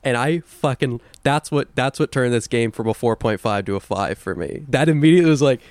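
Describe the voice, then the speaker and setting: A man's voice: medium pitch, fairly quick, darkish tone, no room sound. A young man co-hosting a casual video game podcast, opinionated and swearing freely. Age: young adult. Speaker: podcast co-host. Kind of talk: casual chat about video games.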